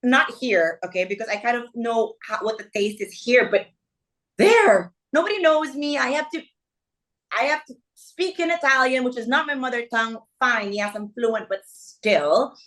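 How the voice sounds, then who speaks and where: Animated woman designer telling stories. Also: fairly high pitch, normal speed. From Philippines. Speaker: fashion designer, guest. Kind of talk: casual interview chat.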